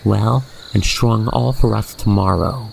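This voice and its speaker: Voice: mid-range voice, measured pace, vocal fry. A narrator telling a second-person ASMR roleplay story in a wordy, playful storybook manner. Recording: faint background noise, cricket night ambience.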